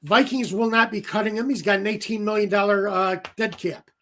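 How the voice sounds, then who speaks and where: A man hosting a sports talk show on his own, giving rambling commentary full of fillers and self-corrections, his voice going raspy from talking for an hour. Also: high voice, brisk, a bit bright. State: voice getting raspy. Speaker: solo sports show host.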